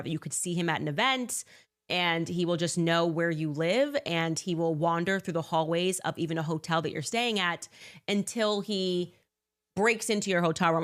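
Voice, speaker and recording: A woman's voice: on the low side, fairly bright tone. A woman giving a commentary monologue as a podcast host, with sarcastic, incredulous asides.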